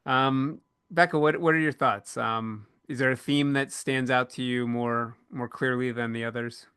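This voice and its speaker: A man's voice: medium pitch, steady tone, normal speed, pushed and bright, smooth, dry recording, studio quality. A man hosting a casual panel discussion, feeling his way through his phrasing as he talks. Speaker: podcast host moderating a panel.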